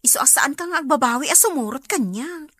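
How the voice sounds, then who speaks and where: A woman's voice: high-pitched, animated, fairly quick, fairly bright tone, no echo, clean studio sound. A woman playing a friendly, persuasive neighbour in an Ilocano radio drama, coaxing in a conversational way.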